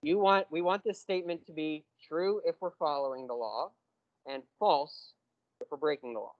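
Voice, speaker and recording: A man teaching a university math class, explaining step by step and slowing down on key terms. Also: on the high side, dry recording, highs cut off. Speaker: math lecturer. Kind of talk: classroom lecture.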